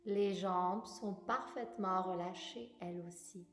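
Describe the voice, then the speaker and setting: A woman guiding a yoga relaxation meditation in a calm, soothing, unhurried manner, leaving long pauses. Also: medium pitch. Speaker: yoga nidra guide. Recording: roomy echo, music bed. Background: music.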